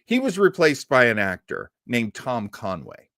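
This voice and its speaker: Chatty man with animated, swinging pitch. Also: a little slow, no room sound, studio quality. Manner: rambling, chatty fan enthusiasm. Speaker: host of an old-time radio show. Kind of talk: casual livestream chat with audience.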